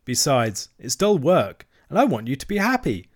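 A man's voice: medium pitch, huge pitch swings, normal speed, breathy edge, voice pushed up. A man voicing a hearty, shrewd middle-aged barge woman who speaks politely with a sly edge.

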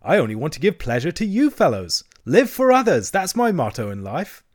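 A man voicing a boastful, excitable male toad in a storybook, with a pompous, theatrical manner. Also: medium pitch, moderate pace, natural voice. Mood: coaxing. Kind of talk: storybook character acting.

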